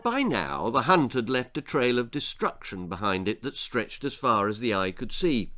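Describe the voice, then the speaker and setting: Animated English male storyteller. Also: mid-range voice, normal speed. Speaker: author reading his own story. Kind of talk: animated children's story narration.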